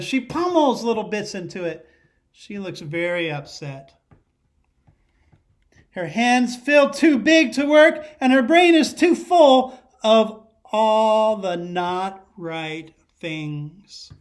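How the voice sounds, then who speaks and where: A middle-aged man reads a picture book aloud as a teacher would. His voice sits high for a man and he takes his time. He tells the story expressively and pauses to put questions to his young listeners. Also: lively intonation. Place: living room at home.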